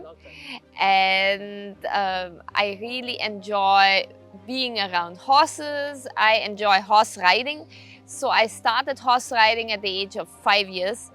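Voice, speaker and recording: A woman in her thirties from Austria with an Austrian accent, a riding instructor speaking in a measured way in a documentary interview. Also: medium pitch, fairly bright tone, soft background music. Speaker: riding instructor and leadership coach.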